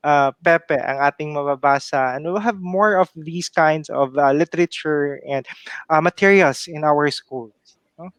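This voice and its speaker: A man's voice: a little high, moderate pace, fairly bright tone, clear, resonant tone, no echo. A young man from a Bisaya-speaking region hosting a video-call interview, hesitant with many fillers, mixing English and Filipino. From Philippines, Bisaya-speaking region. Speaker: interview host. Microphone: headset on a video call.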